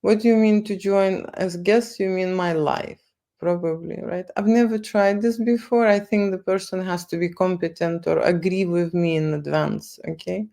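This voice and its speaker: A woman's voice: fairly low pitch, steady tone, moderate pace, creaky, dark tone. A woman with a Lithuanian accent talking casually on a livestream, repeating words and tagging lines with okay and right. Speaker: photo studio owner livestreaming. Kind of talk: casual livestream talk to viewers.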